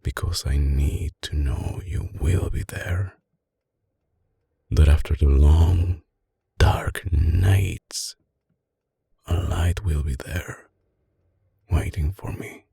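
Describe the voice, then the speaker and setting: A man playing a tender, possessive mafia boss with an Italian accent in an intimate, soft-spoken ASMR roleplay, his voice deep, breathy and husky. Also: steady tone, exaggerated voice. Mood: seductive, pleading. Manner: slow, hushed, coaxing, with dramatic pauses. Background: music.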